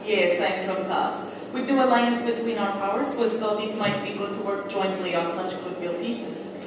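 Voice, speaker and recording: An actress playing a brisk, cautious wartime reporter, clipped and formal, in a staged radio play. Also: female voice, mid-range voice, fairly even intonation, lightly acted. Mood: cool, polite. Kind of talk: staged 1940s-style radio play acting. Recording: echoey hall, distant mic, loud noise.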